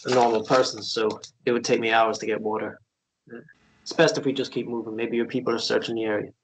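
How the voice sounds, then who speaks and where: A man's voice: medium pitch, little pitch movement, slight background noise, natural voice, low-quality recording. Man acting a shy, hesitant ghost miner. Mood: apologetic, protective. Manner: hesitant, halting, earnest. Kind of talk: table read of a fantasy romance script.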